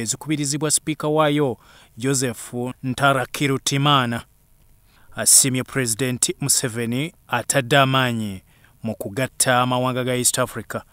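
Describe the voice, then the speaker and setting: Man, news voice-over, quick reading pace, dry close sound. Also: mid-range voice, dry recording. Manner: brisk, even news delivery. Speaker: news reporter.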